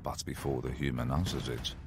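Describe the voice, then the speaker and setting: Very deep, gravelly dragon tyrant. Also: male voice, fairly even intonation, a little fast, over music. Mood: imperious. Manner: pompous, grandiose, threatening. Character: arrogant, menacing male dragon rival in humanoid form. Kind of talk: fantasy audio drama acting.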